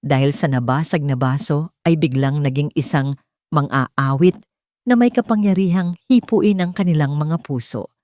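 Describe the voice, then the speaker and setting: A woman's voice: low-pitched, darkish tone, no room sound, clean studio sound. A woman narrating a scripted dramatic story in a solemn, sentimental manner.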